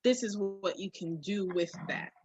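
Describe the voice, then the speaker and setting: A woman's voice: mid-range voice, a little slow, darkish tone, highs cut off. A woman in a candid, casual podcast conversation, speaking reflectively and emphatically with slang. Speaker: podcast co-host.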